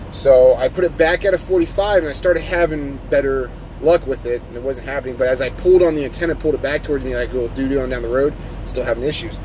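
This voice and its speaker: A man who drives trucks talks in a casual, unscripted vlog, rambling informally as he explains things from his parked truck. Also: medium pitch. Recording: clear steady vehicle and engine-like noise.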